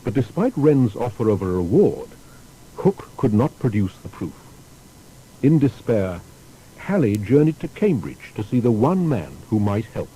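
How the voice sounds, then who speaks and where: A man's voice: on the low side, big pitch swings, a little slow, mellow, breathy edge, poor audio. A man reading a scripted biographical narration in a formal, storytelling manner. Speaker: documentary narrator.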